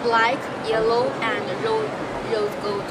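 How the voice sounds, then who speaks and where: A young woman with a Chinese accent answers product questions in short, halting non-native English as a booth representative. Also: on the high side, level tone. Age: young adult. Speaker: company representative at a trade show booth. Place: busy indoor exhibition booth. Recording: noisy, crowded trade show hall.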